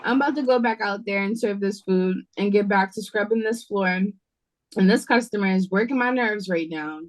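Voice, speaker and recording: A woman's voice: mid-range voice, moderate pace, lightly acted, poor audio. A woman playing a frazzled, sassy waitress in an audio drama read-through over a video call. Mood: urgent, exasperated. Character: frazzled, snappy young waitress on a night shift.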